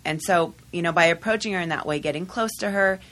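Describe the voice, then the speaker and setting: A woman guest in a studio discussion, telling a story at a measured, thoughtful pace. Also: on the low side, fairly bright tone. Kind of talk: casual podcast discussion. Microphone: studio microphone.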